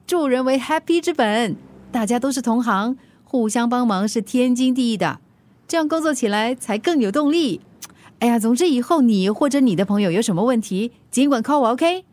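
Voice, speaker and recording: A woman's voice: a little high, animated, moderate pace, darkish tone, close to an everyday voice. A woman acting a cheerful, helpful food delivery rider in a radio drama, chatty, with a Singaporean accent and English words mixed in. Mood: upbeat.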